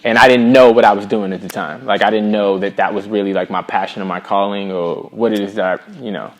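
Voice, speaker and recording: Casting: American man, middle-aged, lowish voice, earnest, slangy musician chatting as an interview guest. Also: fairly even intonation, fairly quick. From Boston, USA. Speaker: singer-songwriter, interview guest. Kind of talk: casual interview conversation.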